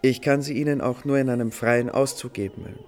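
A man playing a modest, courteous composer in a German radio play, speaking politely and a little haltingly. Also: mid-range voice, fairly even intonation, a little mellow, soft background music, natural voice. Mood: modest, apologetic. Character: composer and maestro, courteous, modest, obliging host of his music.

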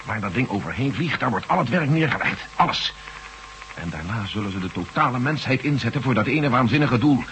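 A man playing a worried companion in a radio play, asking quick questions. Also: mid-range voice, expressive, fairly bright tone, slight background noise. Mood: urgent. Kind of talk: 1980s radio play acting.

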